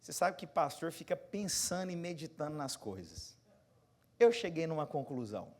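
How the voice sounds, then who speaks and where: A Brazilian pastor in his forties preaching a sermon, moving from quiet, close asides to loud, rising exhortation and putting questions straight to his congregation. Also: on the high side, big pitch swings, moderate pace. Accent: Brazilian Portuguese. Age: 40s. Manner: talks straight to the congregation, asking them questions.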